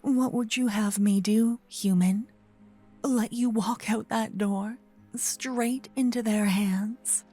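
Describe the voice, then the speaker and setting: A woman voicing a gentle, possessive old female vampire in an ASMR roleplay, murmuring and whispering close to the microphone. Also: a little high, steady tone, soft background music. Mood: teasing, intense, then protective, insistent. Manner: breathy, slow whispering and murmuring. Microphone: close binaural microphone.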